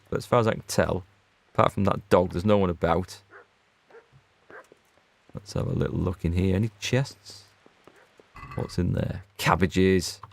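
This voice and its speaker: A man with a low, gravelly voice commentates on his game stream in a relaxed, chatty way. He talks to his viewers by name between remarks about what he is doing on screen. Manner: chatty, reads out and answers viewer chat. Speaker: gaming streamer. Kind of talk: casual let's play commentary.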